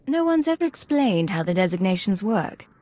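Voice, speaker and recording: A cool female secret agent played by a woman with a medium-pitched voice. She speaks directly and with composure, as dialogue in a video game. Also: big pitch swings, moderate pace, darkish tone, lightly acted. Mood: cool, composed.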